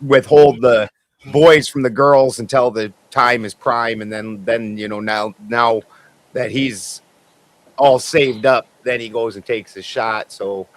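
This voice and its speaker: A man in casual banter on a panel show, speaking at an ordinary middle pitch. He rambles and restarts, with crude jokes. The audio comes through a remote call. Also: fairly even intonation, slow pace. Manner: rambling, crude, self-mocking. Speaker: co-host on a livestream panel.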